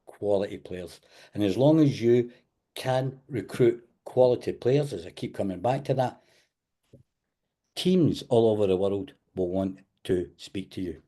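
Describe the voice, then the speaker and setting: A man's voice: lowish voice, unhurried, dark tone. A middle-aged man with a Scottish accent, teaching an online webinar with emphatic, direct advice. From Scotland. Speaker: webinar host and football agent coach. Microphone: video call.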